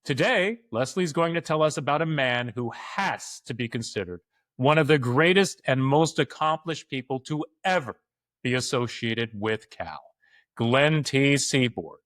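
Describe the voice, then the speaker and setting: A man's voice: medium pitch, lively intonation, a little slow, fairly bright tone, no echo. A man hosts a podcast, reading sports news and sponsor notes with upbeat ease. His voice sits in the middle range. The sound is dry and close-miked, with no room around it. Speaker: podcast host. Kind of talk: scripted podcast announcements and interview.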